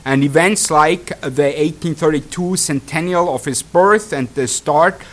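A traveling pastor with a Swiss accent giving a sermon, talking in halting bursts full of fillers and restarts, then reading prepared text aloud. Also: male voice, fairly high pitch, a bit bright. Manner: hesitant, many fillers and restarts. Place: congregation hall.

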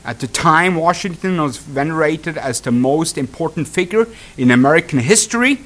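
A traveling pastor with a Swiss accent giving a sermon, talking in halting bursts full of fillers and restarts, then reading prepared text aloud. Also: male voice, fairly high pitch, animated, fairly bright tone. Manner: hesitant, many fillers and restarts. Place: congregation hall.

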